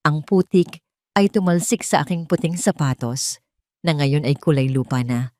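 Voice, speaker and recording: Filipino woman, lower-pitched voice, dry close sound, emotive scripted drama narration. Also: normal speed, dark tone, no room sound.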